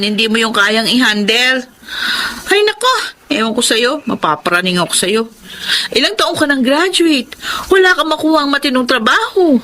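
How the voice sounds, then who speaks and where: Sharp, scolding big sister. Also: female voice, fairly high pitch. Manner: sarcastic, belittling. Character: bitter, scolding breadwinner older sister. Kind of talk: emotional Filipino audio drama acting.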